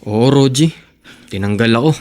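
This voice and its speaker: A man's voice: mid-range voice, normal speed, a little mellow, close to an everyday voice. A man playing a hot-headed, defiant young worker with a blunt, aggrieved manner in a radio drama. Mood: aggrieved, resigned.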